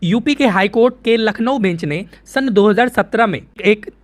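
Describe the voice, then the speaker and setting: A man's voice: sits high, lively intonation, moderate pace. An Indian man presenting an informal news explainer, conversational, repeating phrases for emphasis and answering his own questions.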